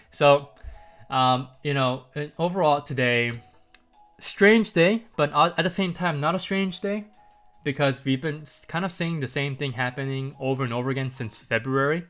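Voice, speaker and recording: A man's voice: on the high side, moderate pace, fairly bright tone, faint music behind. Stuffy-voiced man chatting casually. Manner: loose and chatty, many ums and rhetorical "right?", light chuckles. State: stuffy, congested voice. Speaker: market commentary livestream host. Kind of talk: casual livestream talk to viewers.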